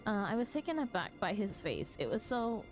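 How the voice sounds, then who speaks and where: A woman's voice: mid-range voice, very animated, brisk, voice pushed up, lightly acted. A woman voicing an earnest, formal fantasy game creature that speaks a little hesitantly to its master. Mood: apologetic, uneasy. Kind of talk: reading game quest dialogue aloud in character. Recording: music behind the voice.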